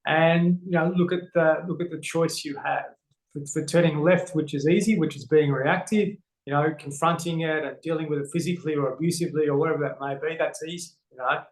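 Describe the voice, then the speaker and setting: A man's voice: fairly high pitch, fairly even intonation, brisk. Earnest Australian man, echoey room. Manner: earnest, many fillers and restarts. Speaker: guest, men's health educator. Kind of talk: casual interview talk.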